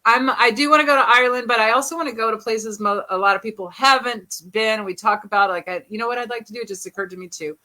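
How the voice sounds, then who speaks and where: A woman's voice: on the high side, fast, hardly any pauses, bright, forceful tone, rough recording. An American woman in a casual group chat, rambling and thinking aloud with frequent restarts. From United States.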